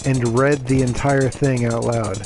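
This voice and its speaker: A man streaming a game, voice a little raspy from reading aloud the day before, chatting casually with lots of "you know"s and "uh"s. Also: mid-range voice, level tone, unhurried, music in the background. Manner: chatty and self-justifying, lots of fillers. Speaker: gaming streamer. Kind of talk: casual gameplay commentary.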